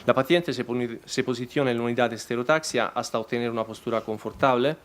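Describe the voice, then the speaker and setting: Non-native male presenter, mid-pitched, halting delivery with many restarts. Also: steady tone. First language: not Spanish. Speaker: conference presenter. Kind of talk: reading a technical talk.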